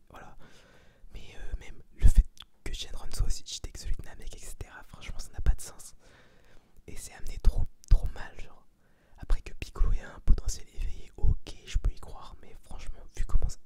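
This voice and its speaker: Whispering ASMR reviewer. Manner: informal, slangy, rambling opinion. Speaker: ASMR video host giving a film review.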